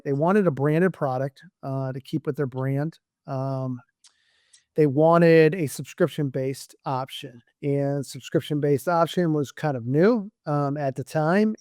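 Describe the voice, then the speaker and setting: A man on a business podcast panel explaining things conversationally, in an informal, halting way. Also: medium pitch, darkish tone, clean tone, no echo, studio quality. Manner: halting, informal, frequent repeated words and "you know". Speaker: company team member on podcast panel.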